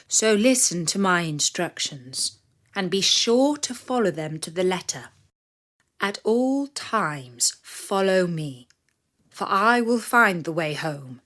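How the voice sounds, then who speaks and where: Protective father from Greek myth , read by a female storyteller. Deliberate, slow, serious, instructive, with expressive intonation. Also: lowish voice.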